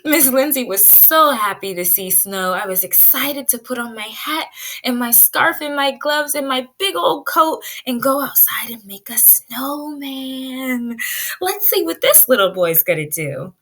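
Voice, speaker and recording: Bright, high-voiced woman teaching little children. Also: a little slow. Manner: animated, question-and-answer, exaggerated child-directed delivery. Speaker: pre-K teacher. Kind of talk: read-aloud lesson for young children.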